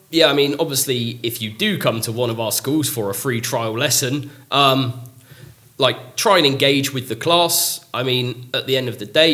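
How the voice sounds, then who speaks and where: A man's voice: medium pitch, sharp tone. A man, a teacher chatting casually on a podcast, full of ums and likes and poking fun at himself. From England. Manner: chatty, lots of ums and likes, self-mocking. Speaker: English teacher.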